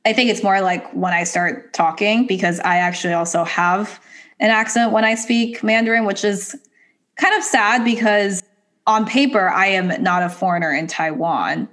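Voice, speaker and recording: A woman in casual conversation, thoughtful and measured, pausing with hesitant fillers. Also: mid-range voice, fairly even intonation, fairly bright tone.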